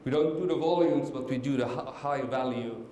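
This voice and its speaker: A middle-aged man from Finland gives a conference talk, stopping often with ums and repeated words. His mid-pitched voice rings around a large echoey hall. A faint hiss sits under the speech. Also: normal speed, dark tone. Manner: hesitant, many fillers and restarts. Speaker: conference speaker. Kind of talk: conference presentation.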